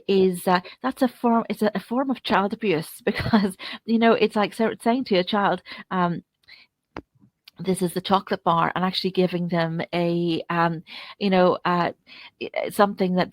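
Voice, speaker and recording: A woman with a mid-pitched voice speaks as an interviewer in an unscripted discussion. Her delivery is halting and roundabout, feeling its way toward long questions. The sound is dry, with very little room in it. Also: dry recording.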